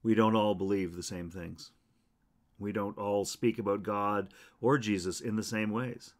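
Low-voiced man giving a church welcome. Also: fairly even intonation, measured pace. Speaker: church minister. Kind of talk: scripted welcome and statement of beliefs.

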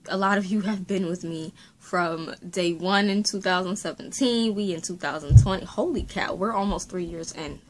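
A woman in her late twenties chats to her webcam from a small room at home, voice slightly low. She rambles and talks with her hands. Her throat is still sore from a recent illness. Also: normal speed, few pauses, slight background noise. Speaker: faith and beauty vlogger. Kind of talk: casual chatty vlog to camera.

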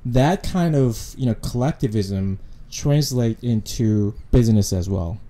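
A hoarse man in casual podcast chat on a couch, speaking thoughtfully with pauses and fillers into a handheld mic. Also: medium pitch, lively intonation, mellow. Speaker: podcast co-host. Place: living room couch. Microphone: handheld mic close to mouth.

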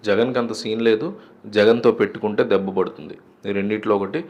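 A man's voice: lowish voice, fairly even intonation, fast talker, a little mellow, dull audio. A man delivering scripted political news commentary in a formal presenter's manner.

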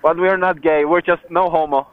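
A man's voice: fairly high pitch, steady tone, moderate pace, dry recording. A man with an Eastern European accent, chatting and joking casually with a friend as a sweary motorcycle vlogger. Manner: swearing freely, jokey. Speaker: motorcycle rider hosting a vlog. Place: outdoors riding a motorcycle. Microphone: helmet headset. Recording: tinny, phone-like helmet intercom with road noise.

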